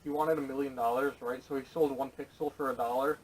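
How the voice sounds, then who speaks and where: A man's voice: mid-range voice, steady tone, a little fast. Blunt man on noisy, distant recording. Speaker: podcast panelist. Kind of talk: casual chat. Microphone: distant camera microphone. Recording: distant camera audio with noise.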